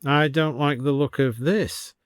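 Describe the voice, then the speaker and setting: A man voicing a brisk, blustering, old-fashioned military colonel in an audiobook story. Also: on the high side, fairly even intonation, clear, resonant tone, dry recording, clean studio sound, voice pushed up, lightly acted. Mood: gruff, decisive.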